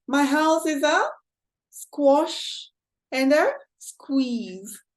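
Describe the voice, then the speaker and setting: A woman's voice: sits high, expressive, very slow, pitched above the performer's own voice, heavily acted. A woman putting on a fretful old lady. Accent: Kenyan. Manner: wailing, exclaiming and pleading. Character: fretful little old woman in a cramped house.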